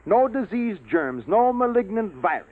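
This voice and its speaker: An actor playing a sardonic, flirtatious, stubborn military biologist in a 1950s radio drama, wry and theatrical. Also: male voice, sits high, very animated, moderate pace. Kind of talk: 1950s science fiction radio drama acting. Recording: vintage 1950s radio, narrow tinny band.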